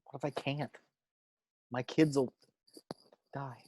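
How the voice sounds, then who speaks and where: A man's voice: medium pitch, fairly even intonation, slow, dark tone, dry recording. A man playing an uptight, earnest grown father in a table read of a film script. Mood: despairing.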